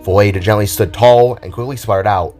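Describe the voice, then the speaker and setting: A man's voice: fairly low pitch, steady tone, moderate pace, darkish tone. A man reading scripted, dramatic narration for an audio drama, with music behind his voice.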